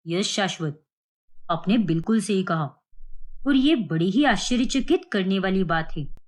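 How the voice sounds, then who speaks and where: A woman's voice: mid-range voice, expressive, natural voice. A woman voicing a solemn male doctor with a formal, explanatory manner in a cartoon melodrama. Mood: grave. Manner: measured, explanatory.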